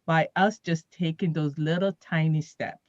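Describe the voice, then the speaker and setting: A woman's voice: sits low, moderate pace, no echo, highs cut off. A woman co-hosting a radio talk show, giving motivational encouragement and often asking "right?". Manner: motivational, animated, repeats "right?" and "guess what?". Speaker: radio co-host. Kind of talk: casual radio chat.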